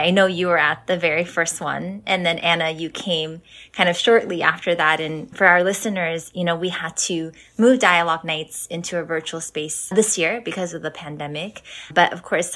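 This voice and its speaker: Woman podcast host, lowish voice, casual and chatty, lots of ums, interview. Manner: warm scripted intro, then chatty with fillers.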